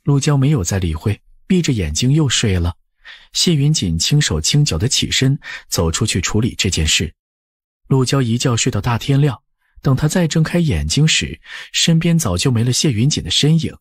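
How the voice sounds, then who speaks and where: A male audiobook narrator tells a period story from a script. His voice is husky with widely moving intonation, recorded close and dry. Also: medium pitch, big pitch swings, moderate pace, no echo.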